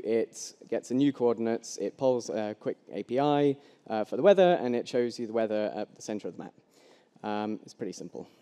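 A man's voice: medium pitch, warm tone. A young man giving a casual live coding talk at a meetup, with plenty of ums and restarts, on a headset mic. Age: young adult. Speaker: conference speaker. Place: large hall with long reverb. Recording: echoey hall.

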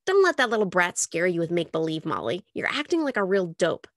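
A woman's voice: lowish voice, big pitch swings, brisk, no echo, clean studio sound. A woman voicing a teasing, easygoing teenage older brother in an audiobook, speaking in an offhand, mocking manner.

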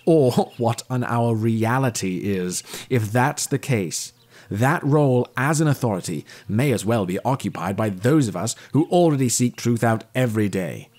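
Actor as pompous, low-voiced detective, swooping delivery. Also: male voice, a little mellow. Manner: formal, declamatory, pedantic. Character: male detective, pompous, earnest, opinionated seeker of truth. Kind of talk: audio drama dialogue.

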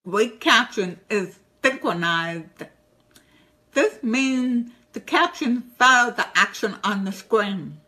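A woman with a deaf accent presenting a short recorded tip video, speaking slowly and deliberately. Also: a little high, animated, bright, forceful tone. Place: home room with shelves.

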